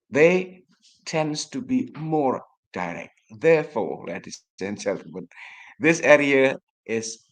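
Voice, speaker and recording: A man from Java, a native Javanese speaker with an Indonesian accent, giving an academic lecture in a formal, deliberate way and often addressing his audience directly. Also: mid-range voice. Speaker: guest lecturer, academic researcher. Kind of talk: academic lecture on research methods.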